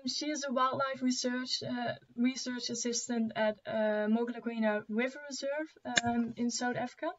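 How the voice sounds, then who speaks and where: Hesitant woman hosting an online event. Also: on the high side. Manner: hesitant, full of fillers. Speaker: event organizer and moderator. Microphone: video call. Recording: slightly dull online call audio with faint noise.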